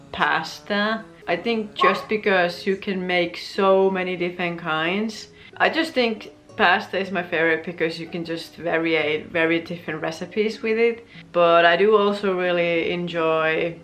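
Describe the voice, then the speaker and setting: A woman's voice: on the low side, a bit bright. A woman in her twenties with a Finnish accent, whose first language is Finnish, chatting casually through a Q&A at home. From Finland. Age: 20s. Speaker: vlogger. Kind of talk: casual Q&A chat. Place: home room with plants, indoors.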